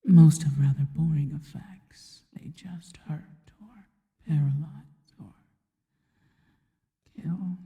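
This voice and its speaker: A woman voicing a seductive, possessive yet devoted female spider monster in an ASMR roleplay, mostly in a slow, breathy, teasing whisper. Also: deep voice, mellow, highs cut off. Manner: slow, teasing, intimate delivery. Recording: long reverberant tail on the voice.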